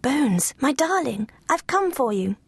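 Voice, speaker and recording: A sweet, pampered little lapdog girl, put on by a woman reading a storybook. She sounds breathless and gushing, her voice pitched up high and lively. The recording is dry and close. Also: a little slow, slightly rough, no echo, exaggerated voice. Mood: tender.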